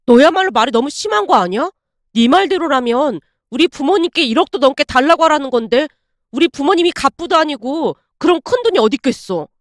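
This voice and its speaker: A woman's voice: sits high, lively intonation, fairly quick, no room sound, clean studio sound. A woman voicing an exasperated, indignant young man in a dramatized story, blunt and scolding.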